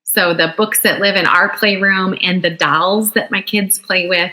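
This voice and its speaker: A woman's voice: medium pitch, fairly even intonation, a little slow, pushed and bright, reverberant, poor audio. A woman on a panel, a parent and educator, speaking in an encouraging, emphatic way. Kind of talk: panel discussion.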